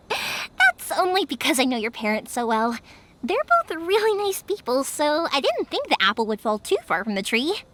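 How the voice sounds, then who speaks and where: A woman's voice: high-pitched, normal speed, slight background noise. A woman voicing an upbeat, talkative, perceptive young fireworks maker in a video game. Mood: encouraging, cheerful. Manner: lively, encouraging, talkative. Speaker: video game character actor. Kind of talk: animated anime-style game acting. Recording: music behind the voice.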